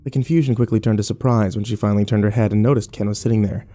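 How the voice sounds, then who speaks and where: A man's voice: on the low side, fairly even intonation, rapid-fire, dark tone, faint music behind, natural voice. An actor playing a weary, irritable hospital patient who tells his story in a sullen, sardonic first-person horror narration. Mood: sardonic, annoyed.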